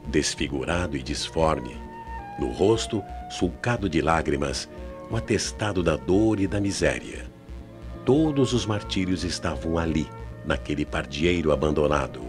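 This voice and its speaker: Very deep, theatrical Brazilian male narrator. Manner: solemn, theatrical, deliberate phrasing. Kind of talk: dramatic scripted radio mystery narration. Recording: old AM radio tape, music underneath.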